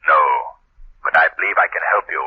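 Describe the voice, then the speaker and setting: An actor playing a mysterious unseen male vigilante in a 1930s radio drama, speaking low and slow in a husky, menacing voice. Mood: sinister. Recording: tinny old radio broadcast with hiss.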